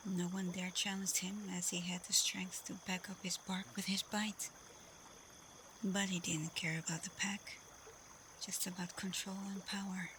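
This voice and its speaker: A woman playing a caring, protective, frank female werewolf pack leader in a soft, breathy, reassuring ASMR roleplay. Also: fairly low pitch, little pitch movement, unhurried, a little hiss or hum, poor audio. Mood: earnest, encouraging.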